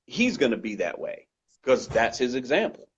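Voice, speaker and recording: A man with a loud voice speaking earnestly and emphatically as a guest in a conversational discussion, often repeating his points. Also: medium pitch, big pitch swings, moderate pace, husky. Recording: dull lo-fi recording.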